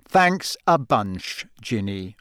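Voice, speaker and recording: A man's voice: high voice, big pitch swings, normal speed, pitched above the performer's own voice. A man voicing a blunt, impatient, sulky teenage boy in an audiobook story. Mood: put out. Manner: blunt, exclamatory, sour.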